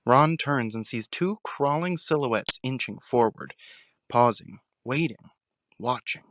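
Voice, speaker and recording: Casting: male narrator for suspenseful audio drama, mid-pitched, very dry sound, deliberate phrasing. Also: fairly bright tone, no room sound.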